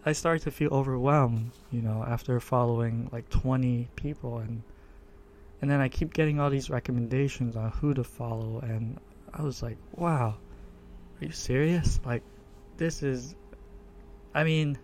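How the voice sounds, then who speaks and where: Slow, hesitant man with a low monotone voice. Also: a little hiss or hum. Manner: hesitant, many fillers and restarts. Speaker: podcast host. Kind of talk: casual self-introduction monologue. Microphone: desktop USB microphone.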